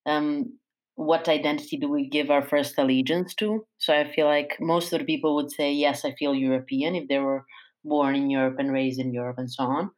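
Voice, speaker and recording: A woman's voice: low-pitched, fairly even intonation, moderate pace, rough recording. A young woman from Serbia in a casual panel discussion, reflective and hedging her points.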